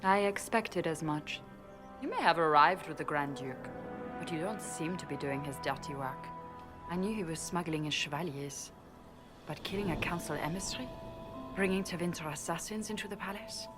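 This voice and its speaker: Shrewd female elf diplomat played by a woman for a fantasy game: lowish pitch, wry and persuasive, music behind. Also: normal speed, close to an everyday voice. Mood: serious, persuasive. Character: shrewd, wry female elven ambassador and spymaster. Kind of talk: dramatic video game dialogue.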